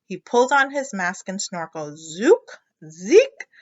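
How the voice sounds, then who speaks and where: A woman teacher reading a picture book aloud to children, explaining expressively and pausing to check understanding with questions. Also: mid-range voice, sing-song, highs cut off.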